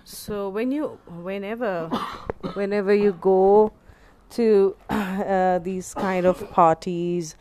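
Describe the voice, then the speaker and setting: A woman with an Indian English accent hosting a casual chat, animated and exclamatory, slipping into Hindi. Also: medium pitch, takes it slowly, slight background noise. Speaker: interview host.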